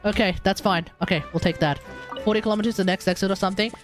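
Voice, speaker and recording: A man chatting casually and excitably on a gaming livestream, rambling and correcting himself as he goes. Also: high voice, rapid-fire, faint music behind. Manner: animated, rambling, exclamatory, self-correcting. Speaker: live streamer.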